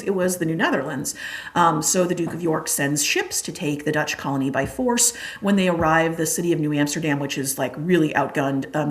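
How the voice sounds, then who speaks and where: Young woman teacher going over exam stuff, low voice, lots of likes and ums. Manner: chatty, many fillers like "like" and "um". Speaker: history teacher. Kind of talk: exam review lecture.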